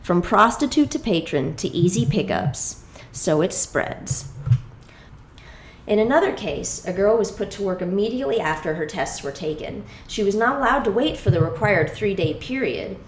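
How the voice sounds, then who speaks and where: A woman reading a first-person exposé as dramatic scripted narration, in a deliberate, emphatic and rhetorical manner. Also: medium pitch, expressive, a little hiss or hum. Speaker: reader of a memoir exposé. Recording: echoey room sound.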